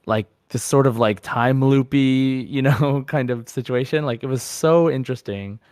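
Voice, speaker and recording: A man's voice: mid-range voice, normal speed, a little mellow, no echo, clean studio sound. A man chatting casually as a podcast co-host about games, in an enthusiastic, rambling way. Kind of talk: casual gaming podcast chat.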